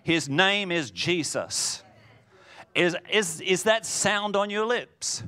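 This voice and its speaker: A man preaching a sermon in a booming voice, swinging from loud declarations to hushed lines. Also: lively intonation, slow.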